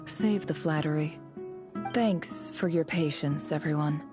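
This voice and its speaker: A woman playing a cool, ruthless, secretive desert-born woman in a video game story, speaking in a measured, sardonic, superior way. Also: deep voice, over music. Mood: wry, assured.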